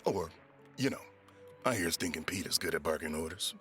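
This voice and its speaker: A man playing a loyal, soft-hearted pirate quartermaster in an audio drama, persuasive and respectfully teasing. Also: low voice, lively intonation, normal speed, soft background music. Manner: persuasive, deferential yet teasing.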